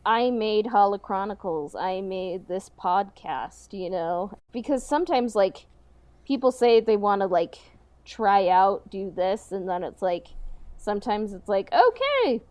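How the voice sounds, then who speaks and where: A woman's voice: medium pitch, very animated, measured pace, a little mellow. A woman hosting a podcast in casual, joking chat, sprinkling her sentences with "like" and "you know". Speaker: podcast host.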